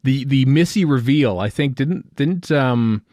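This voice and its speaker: A man's voice: mid-range voice, animated, unhurried, a little mellow, dry recording, clean studio sound. A man hosting a podcast, talking casually and thinking aloud in a rambling way. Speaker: podcast host. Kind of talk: casual panel chat about television.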